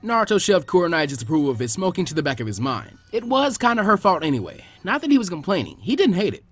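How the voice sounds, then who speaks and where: A man narrates a story at a brisk clip. His fairly high voice moves expressively up and down, with faint music behind it. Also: big pitch swings, slightly rough. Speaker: narrator of fan fiction readings.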